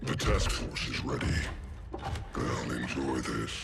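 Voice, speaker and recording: An actor playing a cynical, hard-bitten mercenary villain in a video game, speaking in a terse, menacing way. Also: male voice, rumbling low, takes it slowly, vocal fry, background noise, heavily acted. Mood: cold, menacing.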